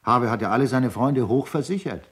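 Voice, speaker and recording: A man's voice: mid-range voice, expressive, a little fast, slightly rough. A man in a radio play, playing a grave witness who gives testimony. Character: grave male witness relaying another man's account.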